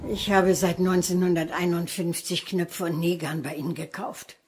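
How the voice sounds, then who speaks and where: A kind, plain-spoken woman at a police station in a crime radio drama. Her voice is slightly low and husky. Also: steady tone, moderate pace. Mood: saddened.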